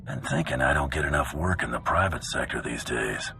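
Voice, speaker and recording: A man playing a cocky male bounty hunter in a video game, with a gruff voice and a dry, sardonic manner. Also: rumbling low, brisk, bright, forceful tone, full character voice. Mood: wry. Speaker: player character in a video game. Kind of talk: video game cutscene acting. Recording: music behind the voice.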